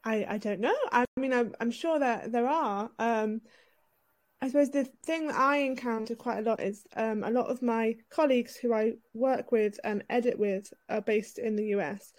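A British woman, a writer and editor, speaking softly and hesitantly as a guest in a casual interview over a video call. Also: on the high side, moderate pace. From United Kingdom. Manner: soft-spoken, hesitant with many ums.

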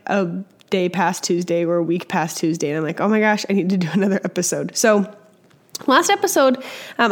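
A young woman with a slightly hoarse, scratchy voice from a cold, hosting a casual educational podcast and chatting with lots of fillers. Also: medium pitch. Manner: chatty, with many fillers and restarts. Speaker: podcast host and early childhood educator.

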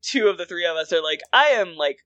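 A woman in her thirties talks as a podcast co-host in a bright, forward voice. Her delivery is casual and rambling, full of loose asides. The sound is dry and close. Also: lowish voice, fast, no echo. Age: 30s. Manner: rambling, animated asides with frequent "like". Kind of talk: casual film and music chat.

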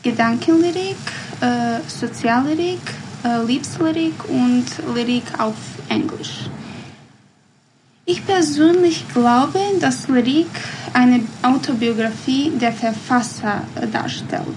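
A woman in her twenties with a Bosnian accent, a guest on a podcast, answering in careful non-native speech with many pauses and fillers. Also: a little high, noisy background. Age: 20s. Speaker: guest, poet. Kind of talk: podcast interview.

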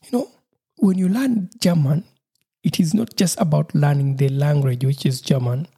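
A man's voice: on the high side, moderate pace, dark tone, slightly rough. A Ugandan man whose first language is Lusoga hosts his own podcast, talking cheerfully and laughing often as he repeats himself. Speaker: podcast host. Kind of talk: solo podcast monologue.

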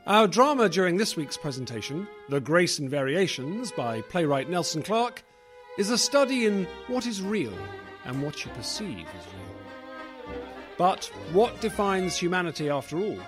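A man with a fairly high voice introduces a radio drama over prominent violin music. His scripted delivery is theatrical and portentous, with lively swings in pitch. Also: unhurried.

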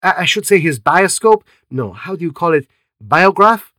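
A man's voice: high voice, sing-song, normal speed, sharp tone, dry recording, clean studio sound, pitched above the performer's own voice, full character voice. A man putting on a fussy detective. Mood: brisk. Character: fussy, vain Belgian male detective, middle-aged.

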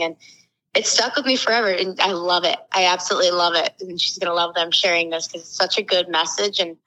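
A woman in her twenties from Florida, a podcast guest talking quickly, loudly and candidly, swearing freely. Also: lowish voice, low-quality recording. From Florida, USA. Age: 20s. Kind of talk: casual interview talk.

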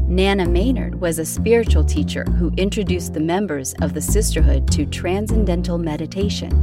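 A woman reading scripted narration as the narrator of a podcast. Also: lowish voice. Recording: music behind the voice. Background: music.

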